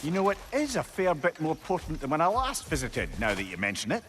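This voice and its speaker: An actor playing a wry, wise older Scottish companion in a video game, droll and wordy. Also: male voice, fairly high pitch, huge pitch swings, fairly quick, background noise.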